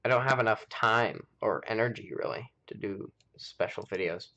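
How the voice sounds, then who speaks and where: A man's voice: on the low side, normal speed, vocal fry, sharp tone, dull audio. An American man hosting a game live stream, chatting casually and rambling as he thinks aloud. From United States. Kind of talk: casual chat while playing a game.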